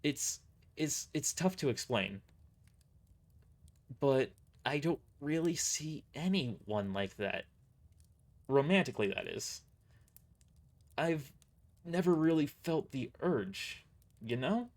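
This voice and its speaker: A man acting a halting, guarded young agent. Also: fairly high pitch, expressive, voice pushed up, full character voice. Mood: awkward, conflicted. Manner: halting, conflicted. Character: Mordred, guarded, blunt, awkwardly caring young agent. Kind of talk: amateur audio drama dialogue.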